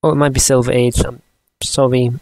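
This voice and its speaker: A man of twenty-one giving a casual, chatty comics review for a vlog, rambling and often halting mid-sentence. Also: medium pitch, level tone, vocal fry, darkish tone, no echo. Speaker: vlogger.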